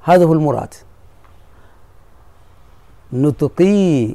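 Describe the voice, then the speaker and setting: A man teaches grammar to his students, going over each point patiently and repeating key phrases. His voice is dark and mellow. The sound is dry and close. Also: a little high, lively intonation, takes it slowly, dry recording. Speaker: sheikh teaching Arabic grammar. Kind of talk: classroom explanation of a grammar poem.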